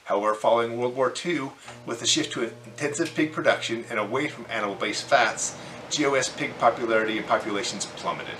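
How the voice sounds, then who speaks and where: A man in his forties hosting a farming video, reading scripted informational narration in measured phrases. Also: medium pitch, pushed and bright, roomy sound, soft background music, rough recording. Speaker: host of a hobby farming channel.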